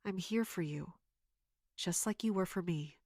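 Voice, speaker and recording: A woman playing a wounded, caring but guarded royal guard captain in an audio drama, speaking earnestly and haltingly. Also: fairly low pitch, a little mellow, no echo, clean studio sound. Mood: gentle concern. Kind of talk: dramatic audio fiction acting.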